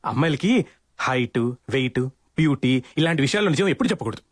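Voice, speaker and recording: An actor playing an exasperated young friend who dishes out cheeky advice in a comedy film, in an instructing manner. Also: male voice, a little high, lively intonation, fast, no room sound, studio quality. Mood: coaching, wry. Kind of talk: Telugu film comedy acting.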